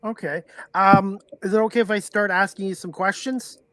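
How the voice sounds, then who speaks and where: A man's voice: sits high, fairly even intonation, normal speed, a bit bright, rough recording. A man hosting a podcast, asking questions in a casual, informal and slightly hesitant way. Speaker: podcast host. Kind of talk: casual interview chat.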